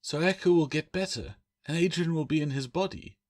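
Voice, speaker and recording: A man's voice: on the high side, brisk, a little mellow, no room sound, clean studio sound, pitched above the performer's own voice, lightly acted. A man voicing an anxious, defiant young orphan girl in a fantasy audiobook, pleading and insistent. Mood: hopeful.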